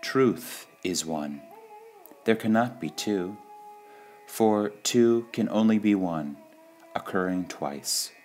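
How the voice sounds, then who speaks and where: A man reads short spiritual sayings in a measured, deliberate devotional style, pausing between phrases. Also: lowish voice, animated, a little mellow. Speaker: reader of spiritual quotations. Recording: music under the voice. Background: background music.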